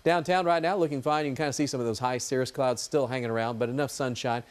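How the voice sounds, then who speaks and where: Fast-talking chatty TV weatherman. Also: medium pitch, fairly even intonation, clean tone. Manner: chatty, folksy, informal. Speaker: TV weather forecaster. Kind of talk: ad-libbed weather forecast.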